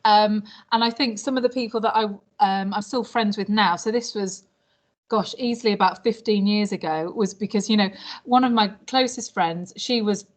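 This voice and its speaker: A British woman in her late thirties speaks as an interviewee at a medium pitch. She explains things informally and chattily, often pausing to find her words. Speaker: language teacher, interviewee. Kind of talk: informal interview answers about past jobs.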